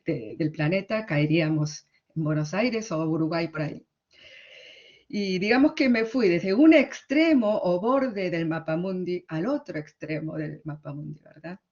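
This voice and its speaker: A middle-aged woman with an Argentine Spanish accent, a literary translator giving a conference talk in a conversational way, often closing her points with quick tag questions. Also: fairly low pitch, darkish tone. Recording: slightly dull, lo-fi sound.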